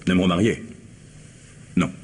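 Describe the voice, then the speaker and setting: An actor playing a guarded, formal husband under suspicion in a French radio mystery, speaking with measured courtesy. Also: male voice, sits low, fairly even intonation, a little mellow. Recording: noisy old radio drama recording.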